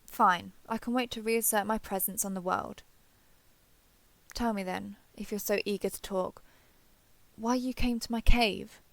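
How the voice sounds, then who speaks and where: Woman playing a proud, creaky-voiced ancient female dragon. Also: mid-range voice, steady tone, measured pace, no room sound. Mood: defiant, proud. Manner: formal, archaic, haughty phrasing. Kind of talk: scripted fantasy roleplay audio.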